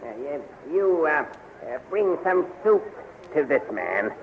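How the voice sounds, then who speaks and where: An actor playing a slow, pompous court magician in a 1930s radio serial, mumbling and hemming as he goes. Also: fairly high pitch, big pitch swings, narrow, tinny audio, full character voice. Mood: hesitant, pompous. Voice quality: mumbling, halting. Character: slow, doddering, pompous male court magician, grumbling and imperious. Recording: noisy, very thin 1930s radio recording.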